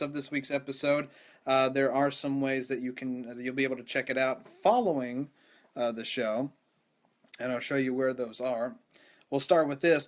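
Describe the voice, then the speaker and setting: Hoarse, rattly-voiced man hosting live. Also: medium pitch. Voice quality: rattly, strained voice. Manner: rambling, self-deprecating, many restarts. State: hoarse, losing his voice. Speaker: podcast host. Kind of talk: casual live-stream chat. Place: home room with mic. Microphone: studio microphone.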